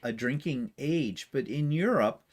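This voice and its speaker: A man's voice: mid-range voice, lively intonation, unhurried. A man co-hosting a casual livestream, reading viewer comments aloud and answering with chuckling asides. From Canada. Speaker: livestream co-host.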